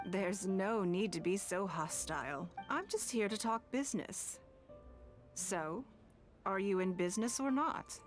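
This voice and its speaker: An actress playing a shrewd, composed noblewoman and political leader in a video game, speaking formally and deliberately. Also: mid-range voice, lightly acted. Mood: cool, businesslike. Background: background music.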